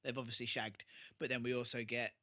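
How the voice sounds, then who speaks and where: A man's voice: mid-range voice, little pitch movement, brisk, bright, forceful tone. A British man co-hosting a podcast, chatting casually and bluntly about an episode. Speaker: podcast co-host. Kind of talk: casual episode review chat.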